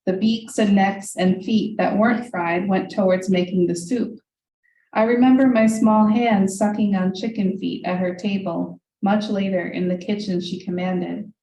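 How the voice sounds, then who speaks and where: A woman's voice: mid-range voice, fairly even intonation, dark tone. Woman poet reading in an echoey hall. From United States, Hungarian family. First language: Hungarian. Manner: measured, deliberate reading; asides loose with many ums. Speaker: poet reading her work. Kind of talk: live poetry reading with spoken asides. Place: reading room of a library, indoors. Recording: echoey room, voice from far off, faint hiss.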